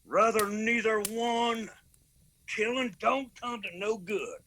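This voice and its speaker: An actor playing a proud, principled and vengeful mountain clan leader in a radio drama, with an Appalachian accent. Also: male voice, high voice, slow pace, bright, forceful tone, a little hiss or hum, exaggerated voice. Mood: wary, reproachful.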